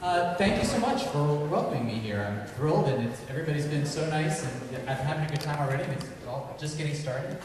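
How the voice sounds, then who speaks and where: A man, a guest writer, giving halting, unscripted thank-you remarks to a convention audience. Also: a little high, expressive. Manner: hesitant, halting. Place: large convention hall, crowd behind. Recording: very echoey hall, distant mic, loud crowd.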